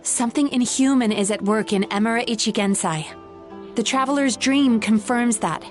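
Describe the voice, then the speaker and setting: An actress playing a composed woman who enters dreams, explaining in a calm, measured way. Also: on the high side, soft background music, lightly acted.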